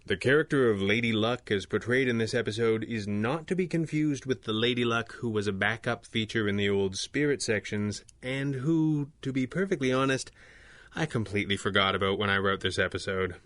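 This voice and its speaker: A man giving a scripted disclaimer as the show's writer, in a dry, deadpan way. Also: on the low side, a little fast, no echo. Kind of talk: scripted narration, tongue-in-cheek disclaimer.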